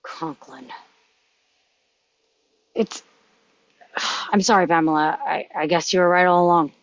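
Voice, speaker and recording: A woman's voice: fairly low pitch, measured pace, a little hiss or hum, close to an everyday voice. A woman at a script table read playing a weary, shaken male intelligence official. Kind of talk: dramatic script reading and stage directions. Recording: roomy, dull muffled microphone sound.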